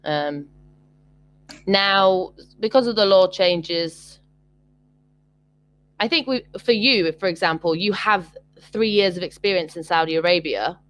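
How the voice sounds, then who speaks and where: Young British woman, online tutor, slightly low pitch, hesitant casual delivery over a video call. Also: slow, long pauses, fairly bright tone. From Britain. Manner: hesitant, many ums and restarts.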